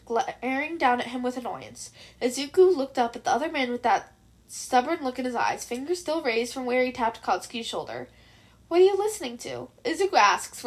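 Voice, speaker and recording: Woman, high-pitched voice, fan fiction narrator, expressive storytelling.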